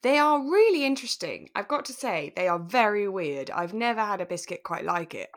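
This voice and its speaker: A British woman co-hosting a relaxed podcast in casual chat, with a giggly, chatty manner. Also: medium pitch, brisk. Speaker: podcast host.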